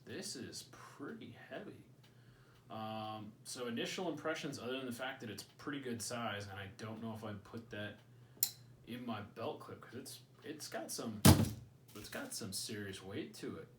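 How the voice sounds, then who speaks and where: A man's voice: lowish voice, moderate pace, a bit bright, reverberant, far from the mic, a little hiss or hum. A man hosting a casual gear review at home, rambling and joking as he thinks aloud. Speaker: channel host. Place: indoors at home.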